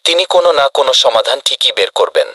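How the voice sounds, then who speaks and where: A man's voice: medium pitch, big pitch swings, fast talker, pushed and bright, slightly rough, dry recording, clean studio sound, full character voice. A man playing a commanding, indignant villager in a comedy cartoon, rallying the others. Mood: decisive. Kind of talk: cartoon voice acting.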